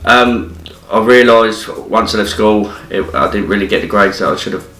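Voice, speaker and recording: A man's voice: lowish voice, normal speed, bright, forceful tone. A young man with an English accent, a qualified apprentice who answers hesitantly with many fillers. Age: young adult. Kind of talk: casual interview answers. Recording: distant, roomy, with music.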